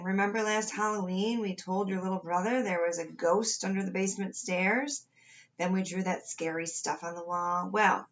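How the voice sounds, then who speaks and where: A woman's voice: mid-range voice, lo-fi sound, close to an everyday voice. A woman voicing a sheepish, frightened storybook crayon, rambling and pleading nervously.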